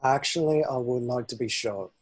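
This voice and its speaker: Man acting a husky, laconic wounded slacker. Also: medium pitch, moderate pace, lightly acted. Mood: deadpan. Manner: laconic, unbothered. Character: laid-back fading young slacker, wounded zombie-bite victim. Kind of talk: dramatic table read.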